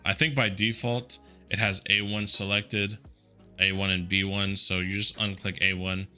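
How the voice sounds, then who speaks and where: A man with a deep voice walking through software settings in a relaxed, conversational way, with a headset mic. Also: moderate pace, faint music behind. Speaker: tutorial host. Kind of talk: casual screen-recorded software tutorial.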